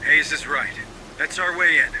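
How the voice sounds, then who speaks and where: An actor playing a weary, stubborn marine sergeant, gruff and curt, in a cinematic sci-fi game. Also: male voice, normal speed, bright, forceful tone. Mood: commanding. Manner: curt, sardonic, commanding. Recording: noisy, engine and ambience rumble.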